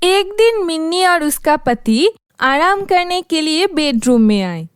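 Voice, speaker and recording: A woman reads a children's story as narrator. Her voice is very high and she takes her time over the scripted lines. The sound is dry, with no room echo. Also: big pitch swings, slow pace.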